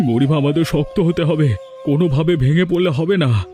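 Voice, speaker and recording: A man's voice: fairly high pitch, brisk, mellow, soft background music, lightly acted. A man playing an angry, resolute village father in a cartoon drama.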